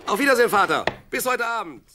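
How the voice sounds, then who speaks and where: Animated young shepherd boy. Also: sits high, fast talker, noisy background. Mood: playful. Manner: playful, expressive, talks to himself and his sheep. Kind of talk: audio play acting.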